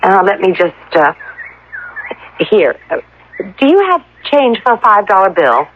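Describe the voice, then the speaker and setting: A woman's voice: mid-range voice, sing-song, a little slow. An actress playing a polite, anxious young woman stranded at a resort, in a radio drama. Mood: flustered. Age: young adult. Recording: muffled old radio recording, faint noise.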